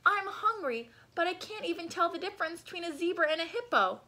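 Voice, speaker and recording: Woman voicing a high, indignant storybook jungle animal. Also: lively intonation, fairly quick, bright, forceful tone, exaggerated voice. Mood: grumbling. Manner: complaining, emphatic.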